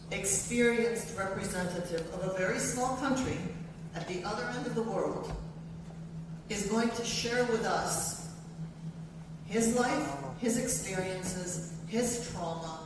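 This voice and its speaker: An older woman speaks earnestly at a university event, building her points in short, emphatic lists. Her voice is heard from a distance in a large hall, with a long echo that lingers after each phrase. There is clear room noise behind her. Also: mid-range voice. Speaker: event speaker introducing a guest. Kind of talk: speech to a university audience. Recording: echoey hall, voice from far off.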